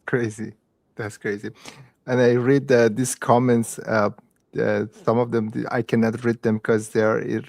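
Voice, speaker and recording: A man's voice: mid-range voice, creaky, darkish tone, dry recording. A man from Iran, hosting a casual podcast chat and often repeating himself with hesitant fillers. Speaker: podcast host. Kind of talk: casual conversation.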